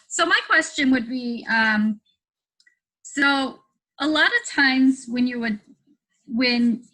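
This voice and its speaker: A woman on a video call, casually and haltingly putting a question to a speaker. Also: fairly high pitch, pushed and bright, low-quality recording. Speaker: audience member asking a question.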